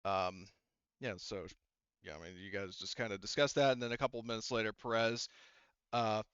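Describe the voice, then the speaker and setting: Halting male game master, smooth and close. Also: fairly low pitch, fairly even intonation, a bit bright, no room sound, lo-fi sound. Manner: halting, rambling, casual. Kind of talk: improvised tabletop roleplaying narration.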